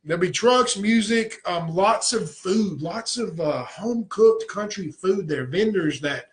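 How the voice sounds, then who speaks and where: A man, hosting a casual late-night live show and talking crudely and excitedly. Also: high-pitched, unhurried. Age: 30s. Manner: crude, excitable, reads listener confessions aloud. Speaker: podcast host.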